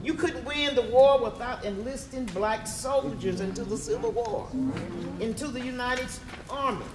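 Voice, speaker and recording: A man's voice: extremely high pitch, moderate pace, over loud music. A man narrating scripted history in a readers theatre performance, heard from a distance in an echoey hall with an audience. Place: live stage performance in a hall.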